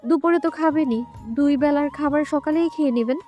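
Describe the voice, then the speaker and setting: A woman plays a young wife in an audio romance, indignant and pouty. Her high voice protests and retorts over background music. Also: fairly quick, dark tone, close to an everyday voice. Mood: pouty, grumbling. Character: indignant, shy young wife in a love story.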